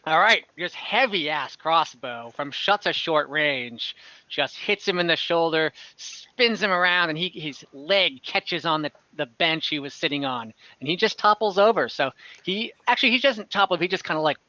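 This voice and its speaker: A man running an online tabletop game as game master, often pausing and correcting himself as he rules and describes the action. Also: fairly high pitch, animated, sharp tone, no echo. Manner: halting, fillers and self-corrections while ruling. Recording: dull lo-fi audio.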